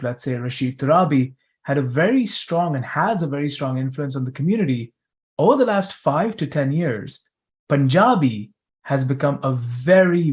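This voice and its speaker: A man's voice: a little high, darkish tone. Chatty young man hosting an interview. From East Africa. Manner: conversational, joking. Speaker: host, interviewer. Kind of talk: conference session interview.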